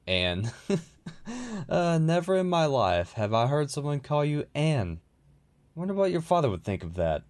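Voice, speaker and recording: A man's voice: a little high, sing-song, measured pace, darkish tone. A man playing a genial, familiar older village lord in an audio drama, by turns teasing and regretful.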